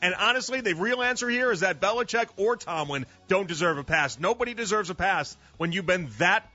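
A man's voice: high voice, fairly quick. A man in his thirties hosting sports talk radio, arguing his points with rhetorical questions. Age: 30s. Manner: animated, argumentative, rhetorical questions. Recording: slightly dull radio broadcast with faint music.

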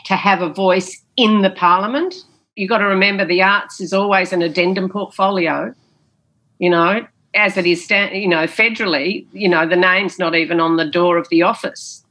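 A woman's voice: on the low side, bright, forceful tone, low-quality recording. A woman, a former politician, answering interview questions in an emphatic, storytelling way with a big theatrical voice, often repeating phrases and saying "you know".

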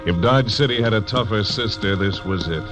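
A man's voice: lowish voice, normal speed. A man narrating and playing a terse, hard-boiled frontier marshal in a 1940s radio drama. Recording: dull old radio broadcast over music.

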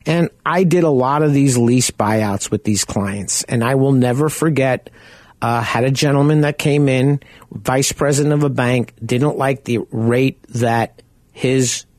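A man with a loud voice hosting a radio talk show, speaking in a casual, rambling, anecdotal way. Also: medium pitch, a little slow, no room sound.

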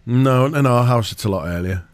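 A man's voice: medium pitch, moderate pace, dry recording. Teasing male co-host, dry studio sound. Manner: teasing, laughs easily. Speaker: radio co-host. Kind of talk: casual radio chat.

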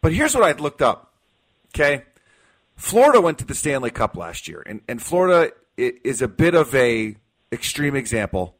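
A man's voice: medium pitch, very animated, normal speed, rough recording. Emphatic American man talking sports on radio. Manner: emphatic, repeats key phrases. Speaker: sports talk radio host. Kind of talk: opinionated sports talk.

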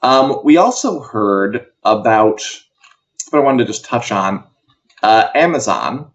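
A man's voice: on the low side, lo-fi sound. A man co-hosts an entertainment news show, chatting casually with a wry, conversational manner.

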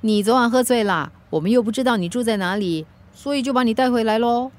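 An actress playing a caring, level-headed friend in a radio drama. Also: female voice, on the high side, quick pace, natural voice. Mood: soothing. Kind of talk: Mandarin radio drama acting.

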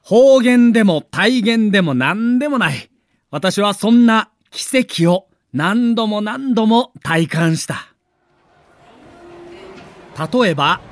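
High, animated male documentary narrator, Kansai accent. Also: no echo. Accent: Kansai Japanese. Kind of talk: dramatic scripted narration.

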